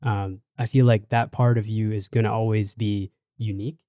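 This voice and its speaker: A man hosting a casual podcast chat, relaxed and encouraging, with lots of fillers. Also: fairly low pitch, normal speed, no echo. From Canada. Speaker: podcast host.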